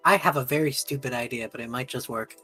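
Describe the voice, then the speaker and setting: A husky woman chatting casually as a player in a tabletop role-playing game. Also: a bass voice, fast, pushed and bright, faint music behind.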